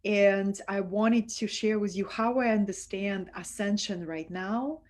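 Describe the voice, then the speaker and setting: A woman's voice: mid-range voice, unhurried. Russian-accented woman hosting a spiritual stream. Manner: soft, affectionate, reads out viewer comments. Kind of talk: live-streamed spiritual talk.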